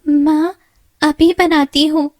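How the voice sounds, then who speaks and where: A woman voicing a meek, sad young girl who speaks in a soft, tearful voice. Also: high-pitched, little pitch movement, takes it slowly, no echo, clean studio sound, pitched above the performer's own voice.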